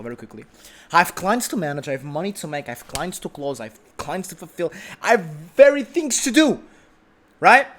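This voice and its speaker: Man, vlog host, brash and self-assured, slightly high voice with a wide, animated pitch, loose unscripted monologue from his sofa. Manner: brash, self-assured, rambling with restarts and swearing. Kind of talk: unedited casual monologue. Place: sitting on a sofa at home.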